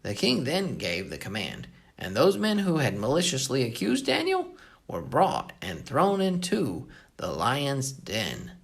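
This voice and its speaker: Highly animated storyteller with a creaky, husky voice. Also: measured pace. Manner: animated, explains hard words simply. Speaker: Bible story narrator for a children's program. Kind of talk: expressive read-aloud storytelling for children.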